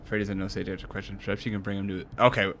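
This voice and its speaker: A man's voice: deep voice, flat delivery, rapid-fire, noisy background. A man chatting casually as he streams a video game with other players. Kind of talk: casual gaming chat. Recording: loud game music behind voice. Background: game music.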